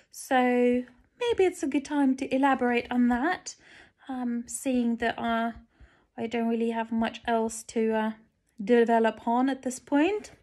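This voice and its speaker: A young woman with a Lithuanian accent talks in a loose, rambling way, full of ums, as she stitches. Her voice sits a little high with a gravelly fry at the ends of her phrases. She is recorded on a phone indoors at home. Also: a little mellow. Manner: rambling, frequent ums, chatty and candid. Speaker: stitching vlogger. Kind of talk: casual chat while stitching. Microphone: phone camera looking down at the stitching, speaker off frame.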